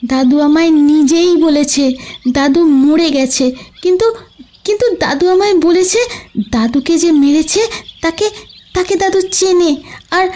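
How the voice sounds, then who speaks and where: Woman playing a high-voiced, hesitant little girl. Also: dark tone. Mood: earnest, hesitant. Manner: halting, repeats words as she explains. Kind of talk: audio drama acting.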